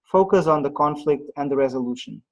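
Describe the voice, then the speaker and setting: A man presenting an online webinar on pitching, pausing often with fillers and restarts, heard over a video call. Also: dull audio. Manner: halting, many fillers and restarts.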